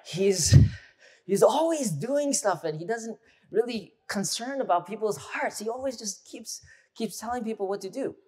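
A man putting on a hushed, half-whispered voice for a congregant confiding a complaint about a coworker. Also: high-pitched, animated, normal speed, pitched above the performer's own voice.

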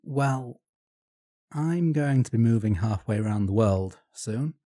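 A man's voice: medium pitch, lively intonation, a little slow, warm tone, no room sound, studio quality. A man with a Yorkshire accent co-hosting a podcast, chatting in a self-deprecating, jokey way. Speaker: podcast co-host. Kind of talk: casual podcast discussion.

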